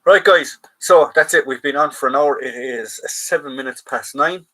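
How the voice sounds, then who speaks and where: A man's voice: mid-range voice, moderate pace, bright, forceful tone, poor audio. An Irish man hosting a live chat, casually reading out and answering viewer comments, trailing off and restarting.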